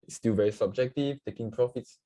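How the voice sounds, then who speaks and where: A man's voice: mid-range voice, brisk, mellow, no echo. A man from Singapore who teaches forex trading on a livestream, talking casually through chart examples and often saying "so yeah". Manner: casual, repeats "so yeah", greets viewers from the chat. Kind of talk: live screen-share market analysis with chat.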